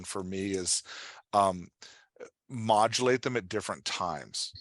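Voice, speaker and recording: A man's voice: lowish voice, a bit bright, dry recording. A man teaching live online as a writing coach, explaining in a conversational, encouraging way and repeating phrases for emphasis. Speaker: writing coach answering audience questions.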